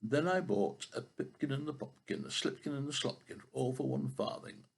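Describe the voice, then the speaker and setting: A man's voice: mid-range voice, lively intonation, moderate pace, vocal fry, breathy edge. An older man voicing tiny, cheeky tailor mice in a storybook, chanting in a sing-song way.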